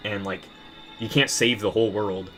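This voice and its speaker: Man, lowish voice, bitter profane rant, rambling with restarts. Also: steady tone, normal speed, fairly bright tone, faint music behind. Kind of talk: casual rant to camera.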